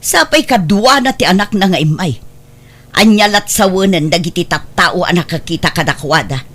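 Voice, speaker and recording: A woman's voice: on the low side, lively intonation, normal speed, a bit bright. A woman playing a proud, disapproving, stern mother in an Ilocano radio drama. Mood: scolding.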